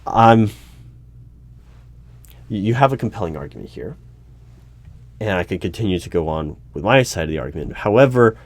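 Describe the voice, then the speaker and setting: A low-pitched hiring manager at a secret bureau, played by a man in an audio roleplay. He is officious and exasperated, arguing in halting stretches and rewording his points as he questions a candidate. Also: animated, no echo. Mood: baffled, argumentative. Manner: halting, argumentative, officious, repeats and rephrases. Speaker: solo roleplay performer. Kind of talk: one-sided audio roleplay interview. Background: computer keyboard typing.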